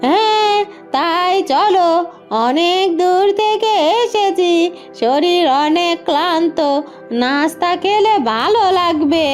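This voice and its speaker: A woman plays a weary old auntie in a cartoon, with an extremely high voice. She is frank and kindly, admonishing in drawn-out exclamations over prominent background music. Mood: weary complaining. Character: elderly visiting aunt, frank, weary, kindly advising. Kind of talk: Bengali moral-tale cartoon dubbing.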